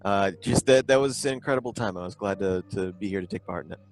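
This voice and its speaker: A man's voice: low voice, fast talker, a little mellow, low-quality recording. A man who is a voice actor guest, chatting casually and eagerly thanking his hosts on a live stream. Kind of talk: casual chat.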